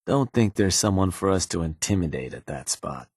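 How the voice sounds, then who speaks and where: Laid back gang henchman in a game, deep husky voice, clipped and casual, shrewd advisor type. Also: animated, normal speed, darkish tone, no room sound, clean studio sound. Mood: businesslike. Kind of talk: video game character dialogue.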